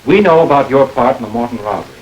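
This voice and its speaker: A man playing a firm, measured police investigator in a 1940s radio drama, recorded with old radio hiss. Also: mid-range voice, expressive, a little mellow, natural voice, poor audio. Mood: stern. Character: stern middle-aged male police investigator. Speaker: police lieutenant.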